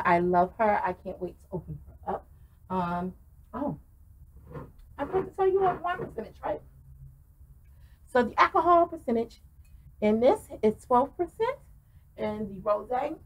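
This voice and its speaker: A middle-aged woman gushes through a casual wine review, her voice carrying a gravelly fry. She talks with lots of ums and restarts, recorded on a webcam in a small wooden room. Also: mid-range voice, rough recording. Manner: effusive, many ums and restarts.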